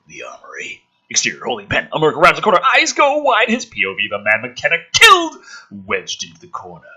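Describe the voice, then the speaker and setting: A man hosting a theatrical script reading, with dramatic emphasis and sarcastic, exasperated commentary in a rough-edged voice. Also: mid-range voice, sharp tone. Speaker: host reading and mocking a film script.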